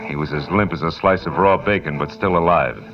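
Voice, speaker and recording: Through heavy noise on a muffled vintage radio broadcast comes a very low male voice, an American private eye. He is tough, wry and clipped, in classic 1950s radio noir style. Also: a little fast. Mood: wry narration. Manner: clipped, sardonic. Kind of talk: 1950s radio noir acting with first-person narration.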